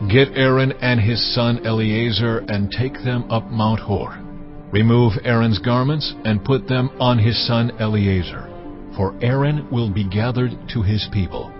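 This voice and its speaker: A man's voice: medium pitch, unhurried, muffled recording, over music, natural voice. An actor playing a solemn, commanding male deity with an authoritative manner in a dramatized audio Bible.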